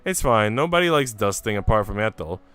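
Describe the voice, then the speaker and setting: A man's voice: lowish voice, animated, fairly quick, fairly bright tone, clear, resonant tone. A man voicing a polite, mild and reassuring young maid in a visual novel, practical in manner.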